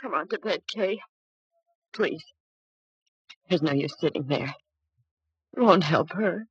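A woman's voice: lowish voice, animated, takes it slowly, breathy edge, no echo, heavily acted. An actress playing a frightened, pleading young woman in an old-time radio drama, emotional and urgent. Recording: dull vintage radio recording.

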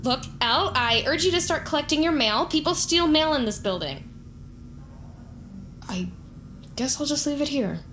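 A woman's voice: sits high, expressive, noisy background. An actress playing a lonely, nosy, awkward woman who works as a data analyst, in a scripted audio drama. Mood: nervous, eager. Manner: awkward, halting, wry, muttering to herself. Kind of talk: scripted audio drama, dialogue and inner monologue.

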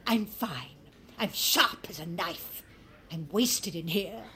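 A woman's voice: fairly low pitch, slow pace, pushed and bright, a little hiss or hum. An actress playing a mischievous, imperious old British grandmother in an audio drama, blunt and sardonic. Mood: proud, defiant. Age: older.

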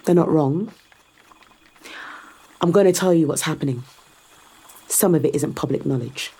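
A confident, sardonic woman in a science fiction audio drama. Her voice sits a little low and has a slightly husky edge. She explains things with calm assurance and a dry, teasing bite. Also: normal speed. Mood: grave. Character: confident, sardonic woman guiding a skeptical visitor.

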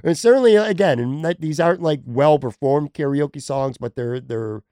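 A man's voice: on the high side, normal speed, mellow, no room sound, clean studio sound. A man hosting a casual sports podcast, chatting and joking with a guest. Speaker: podcast host.

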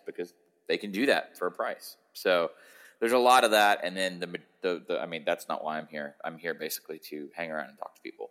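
A man hosting a sports podcast, hoarse and hungover, rambling casually with a sardonic streak. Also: low voice, a bit bright. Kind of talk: casual football podcast chat.

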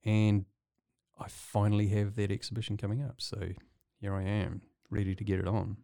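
A man's voice: on the low side, flat delivery, normal speed, creaky, warm tone, no echo, studio quality. A man with a New Zealand accent chatting casually as an interview guest. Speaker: guest, visiting artist.

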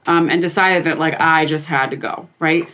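A woman's voice: low-pitched, normal speed, slight background noise. Chatty woman in her late twenties. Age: 20s. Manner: chatty, lively storyteller, frequent "right?" tags. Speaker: podcast guest. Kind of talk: casual chat.

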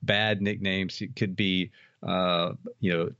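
A middle-aged American man telling stories casually as an interview guest, in a halting, discursive way. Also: low-pitched, level tone, bright, forceful tone, clear, resonant tone, dry recording, lo-fi sound. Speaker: podcast guest, author and haunted house operator.

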